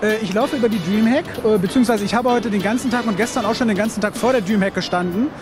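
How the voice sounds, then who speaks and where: A man's voice: high voice, quick pace. A man chatting casually as an interviewee, with a cheeky manner, into a handheld mic. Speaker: live streamer, street talk interviewee. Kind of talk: casual chat. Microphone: handheld reporter microphone. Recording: music laid over the voice.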